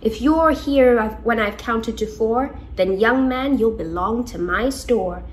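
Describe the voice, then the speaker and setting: A woman's voice: on the high side, a little slow, slight background noise, natural voice, rough recording. A woman voicing a haughty, menacing witch shopkeeper from a storybook, scolding and imperious. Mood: stern.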